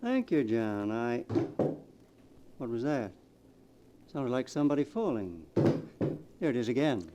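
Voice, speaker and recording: An actor playing a loyal, earnest, polite assistant in a 1940s radio drama, slightly hesitant. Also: male voice, medium pitch, sing-song, measured pace, long pauses. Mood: alarmed. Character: loyal, mild, conscientious assistant to a millionaire. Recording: faintly noisy vintage radio recording.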